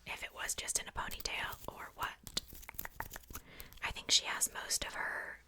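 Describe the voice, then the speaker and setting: A woman whispering softly in a casual, chatty ASMR style, rambling along with conversational asides. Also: unhurried. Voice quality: soft unvoiced whisper. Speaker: ASMR video host showing a doll collection.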